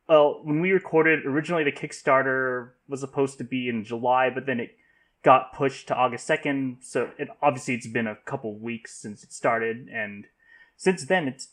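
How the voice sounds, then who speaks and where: A man hosting a casual podcast discussion, speaking haltingly with repeated false starts and stammers. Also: medium pitch, a bit bright. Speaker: podcast host.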